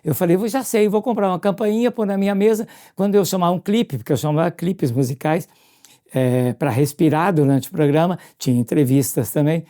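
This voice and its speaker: A radio presenter with a Brazilian accent, reminiscing casually in an expressive storytelling way and often turning questions to the listener. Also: mid-range voice, brisk.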